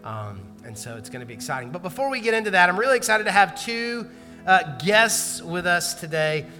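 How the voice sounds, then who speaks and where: A man's voice: sits high, very animated, a little fast, pushed and bright, long reverb tail, faint music behind. A man, a pastor, making casual conversational church announcements and introducing a guest, with loose fillers and restarts. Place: large church auditorium.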